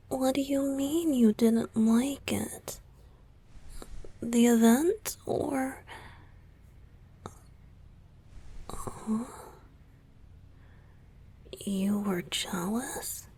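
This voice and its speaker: Girl doing a soft clingy young idol boyfriend, asmr roleplay, really breathy n whispery, slow n pleading. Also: a little high, exaggerated voice. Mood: hurt, pouty. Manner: soft, hesitant, pleading, confiding. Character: young male idol singer, tender, clingy, wistful boyfriend.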